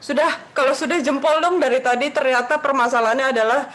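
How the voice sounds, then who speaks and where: A woman's voice: high-pitched, quick pace, fairly bright tone. A woman moderating an online seminar on a video call, upbeat and joking with the audience.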